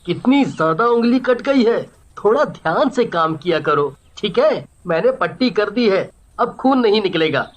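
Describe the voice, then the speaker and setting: Casting: man as caring young husband for cartoon family tale, earnest, gently reproachful. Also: high voice, animated, normal speed, hardly any pauses. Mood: caring, chiding. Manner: earnest, chiding.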